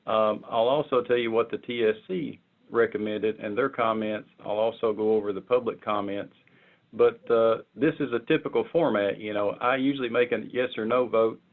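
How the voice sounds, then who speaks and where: A man informally presenting on a conference call, explaining step by step in a halting way and often repeating words. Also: on the low side, fairly even intonation. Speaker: committee member presenting proposals. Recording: muffled narrow phone-like conference line.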